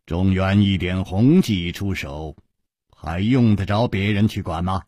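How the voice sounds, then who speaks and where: A man voicing a calm, wry, composed martial-arts hero in a told story. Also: sits low, measured pace, no echo, studio quality, pitched below the performer's own voice. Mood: calm assurance.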